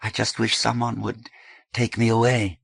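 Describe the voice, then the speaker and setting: A man voicing a worried teenage girl who speaks in halting, pleading fragments. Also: lowish voice, flat delivery, vocal fry, fairly bright tone, husky, no room sound, voice pushed up, full character voice.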